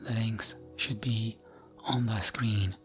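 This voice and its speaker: A man narrating bedtime lore in ASMR style, with a soft, breathy near-whisper and a gentle, soothing, measured delivery. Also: lowish voice, fairly even intonation. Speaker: ASMR gaming narrator and channel host. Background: music.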